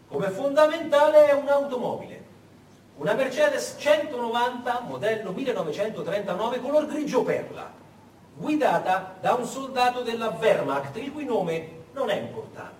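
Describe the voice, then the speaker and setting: Man, theatrical history narration, dramatic, rhetorical questions, animated intonation, distant echoey recording with faint noise. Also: high-pitched. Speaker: stage actor, storyteller.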